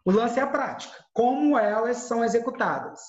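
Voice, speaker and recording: A man's voice: extremely high pitch, expressive, fairly quick. A man teaches an acting class. He talks through examples and often restarts his sentences. His voice comes through a dull, echoey room. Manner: explains with examples, many restarts.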